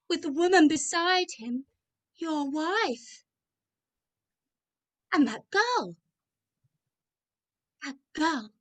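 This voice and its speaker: A woman playing a solemn, relentless ghostly spirit in a radio-style drama over a video call, deliberate, dramatic and commanding. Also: sits high, full character voice. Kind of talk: rehearsed radio-script drama reading.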